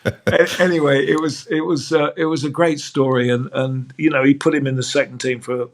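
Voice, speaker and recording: A man's voice: fairly high pitch, fairly even intonation, fairly quick, slight background noise. An older man, a veteran sports broadcaster, telling fond anecdotes in a chatty interview, often restarting his sentences.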